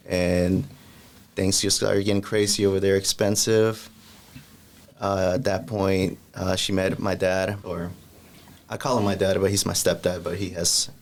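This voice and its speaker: A young man from El Salvador answers interview questions in a plain, measured way with a lowish voice. He pauses now and then with fillers. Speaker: guest.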